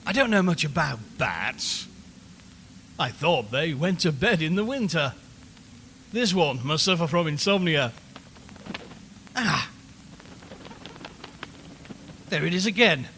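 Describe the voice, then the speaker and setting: A man's voice: fairly high pitch, sing-song, a little slow, pauses often, bright, forceful tone, full character voice. A man playing a nervous, wryly chatty radio reporter giving a live commentary from a haunted house in an audio drama. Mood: wry, jittery. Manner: chatty, wry, increasingly uneasy. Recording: long reverberant hall, background noise.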